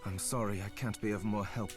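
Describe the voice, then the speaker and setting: A gentle, earnest scholar from a video game drama, voiced by a man. His voice is fairly low and sincere, with a remorseful air, over clearly audible music. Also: steady tone, fairly quick, natural voice.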